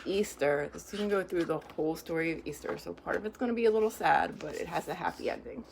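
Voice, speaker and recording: A woman reading picture books aloud to young children, pausing to explain things in simple, reassuring words. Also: fairly low pitch, creaky, a little hiss or hum.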